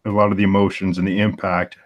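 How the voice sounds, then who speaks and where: Male comic artist, forties, very low voice, thoughtful and searching delivery in casual stream chat. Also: level tone, quick pace, lo-fi sound. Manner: thoughtful, searches aloud for the right words.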